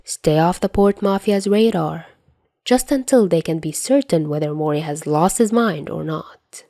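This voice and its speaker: Low-pitched woman narrating fiction, very dry sound. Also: animated, measured pace, dark tone, no echo. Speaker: podfic narrator.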